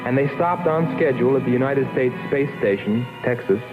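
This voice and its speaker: A man playing a haunted astronaut who narrates his voyage in a brooding, deliberate, dramatic 1960s film style. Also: medium pitch, lively intonation, lightly acted. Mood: sober recounting. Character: haunted male astronaut recounting his voyage, wistful and obsessed. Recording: tinny old film soundtrack under loud music.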